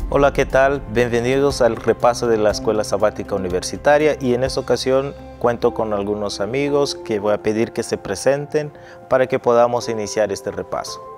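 A middle-aged man from Angola, a pastor hosting a Bible study review in a TV studio, teaching in a measured way on a lapel mic. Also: mid-range voice, darkish tone, soft background music. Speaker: pastor and host.

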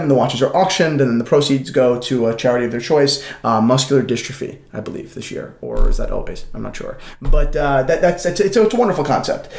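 A man's voice: mid-range voice, roomy sound. A young man co-hosting a casual chat, talking eagerly with many fillers and restarts into a desk microphone in a small studio. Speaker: co-host. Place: small studio with shelves, indoors.

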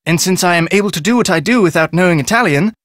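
An actor playing a proud artisan man, speaking in a formal, measured, self-deprecating manner. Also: high-pitched, fairly bright tone, no room sound, clean studio sound. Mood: rueful, proud.

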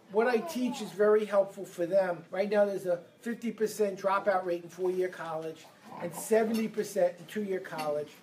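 Energetic New man leading a seminar. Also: sits high, poor audio. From Brooklyn, New York. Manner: energetic, leads audience call-and-response. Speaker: seminar speaker. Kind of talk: live motivational seminar talk. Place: event room with a live audience.

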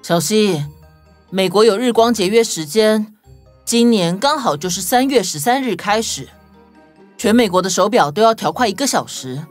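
A woman's voice: mid-range voice, expressive, normal speed, soft background music, close to an everyday voice. A woman voicing a serious, clever detective who explains things confidently in a children's audio story. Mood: explaining.

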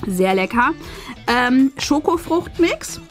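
A woman in her thirties with a high voice hosts a casual unboxing. She chats and explains to her viewers, with faint music playing behind her. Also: big pitch swings, takes it slowly. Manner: chatty, explanatory. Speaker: host of an unboxing channel. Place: small furnished indoor room. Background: faint background music.

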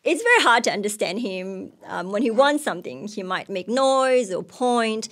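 A woman's voice: medium pitch, expressive, moderate pace, a bit bright. A woman in her thirties from Mauritius, speaking into a handheld mic as a missionary giving personal testimony in an onstage interview. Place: indoor stage with curtain backdrop. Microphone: handheld microphone.

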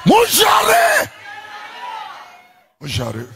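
A middle-aged man with a Ghanaian accent, a pastor preaching a fiery sermon into a handheld mic in a large church hall, shouting in sing-song refrains. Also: extremely high pitch, huge pitch swings, extremely slow, vocal fry, over a noisy crowd, heavy background noise.

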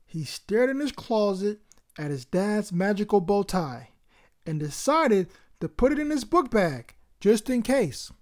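A woman's voice: mid-range voice, very animated, unhurried, a little mellow. A woman hosting a story time, reading expressively as the narrator of a children's book.

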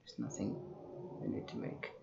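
A man giving halting, think-aloud flight simulator commentary and pilot radio calls. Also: muffled recording, noisy background. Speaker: host, virtual pilot.

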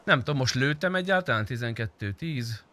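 A man's voice: medium pitch, lively intonation, noisy background. A young man chatting casually while livestreaming a game, blunt and slangy with frequent swearing. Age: young adult. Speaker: game streamer. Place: indoor streaming room.

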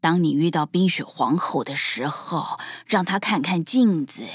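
A low, breathy old grandma. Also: female voice, animated, a little slow, dry recording, studio quality, full character voice. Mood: gentle. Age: older. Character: kindly old grandmother telling tales. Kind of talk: cartoon dubbing.

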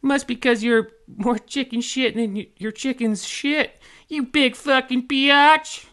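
A very high, shrill fairy woman, as a male reader puts her on, taunting and cursing. The delivery is loud and forceful, made for a comic story. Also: lively intonation, unhurried, clean tone, exaggerated voice. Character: foul-mouthed, taunting tiny female fairy. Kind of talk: comedic story reading.

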